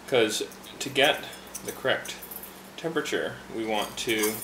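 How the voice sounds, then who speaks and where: A man working behind a tea bar casually explains how to brew a green tea, talking in a relaxed way with fillers and restarts. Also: on the low side, unhurried, roomy sound, background noise. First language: English. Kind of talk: casual instructional demonstration.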